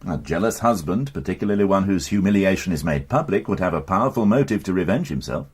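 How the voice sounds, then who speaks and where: Husky, deep English police inspector. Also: male voice, expressive. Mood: probing, courteous. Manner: probing, formal, deliberately hesitant when questioning. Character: shrewd male police inspector, measured and courteous interrogator. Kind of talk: British radio crime drama acting.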